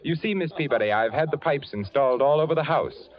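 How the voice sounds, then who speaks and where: An actor playing a cultured, eccentric rich widower in a 1940s radio drama, speaking in a suave, courtly way with soft chuckles. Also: male voice, on the high side, fast talker, natural voice. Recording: muffled 1940s radio broadcast with organ music.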